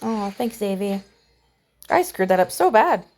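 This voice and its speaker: A woman's voice: mid-range voice, very animated, unhurried, darkish tone. A woman giving casual game commentary, chatty and playful, reading on-screen text aloud. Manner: chatty, mild playful exclamations. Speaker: gamer voicing a playthrough.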